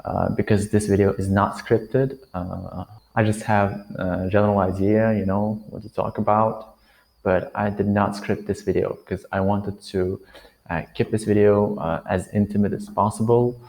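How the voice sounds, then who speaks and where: A man's voice: on the low side, level tone, moderate pace, creaky, a little mellow, low-quality recording. A nineteen-year-old man giving unscripted advice to the camera, hesitating often and looking around while he thinks. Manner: hesitant, many fillers and restarts. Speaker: vlogger. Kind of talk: unscripted talking-head advice.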